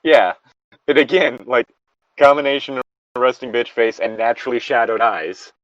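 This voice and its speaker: A man's voice: medium pitch, fairly even intonation, moderate pace, a bit bright, no room sound, highs cut off. A man giving casual, rambling and blunt commentary on a story, with frequent swearing. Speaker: podcast host reading and commenting on a story.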